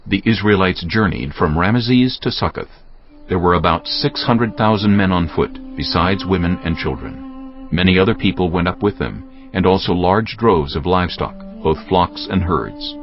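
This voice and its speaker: A man narrating scripture from a script in a measured, solemn way. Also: sits low, expressive, muffled recording.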